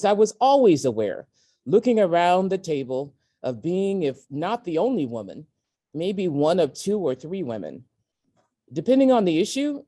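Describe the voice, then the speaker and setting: A woman reading memoir excerpts aloud in a measured, emphatic way, with deliberate pauses. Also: fairly low pitch, dark tone. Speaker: guest reader at a virtual event. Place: indoors at a community center, on an online event.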